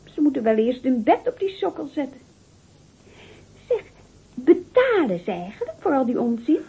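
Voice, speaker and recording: Exasperated fiancée, high animated voice, scolding, radio drama, tinny recording. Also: female voice, normal speed. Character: brisk, nagging, no-nonsense bride-to-be, a woman. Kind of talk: radio play acting. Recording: thin, narrow-band old radio recording.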